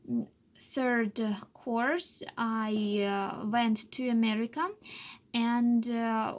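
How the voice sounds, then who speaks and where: Woman, late 20s, slow halting english full of uhs, bit of a high voice. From Russia. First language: Chuvash. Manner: halting, many filler pauses and restarts. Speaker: English learner telling her life story. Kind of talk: unscripted personal monologue.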